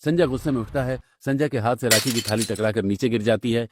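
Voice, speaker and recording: A man's voice: medium pitch, fast, noisy background. A man delivering scripted narration for a cartoon story.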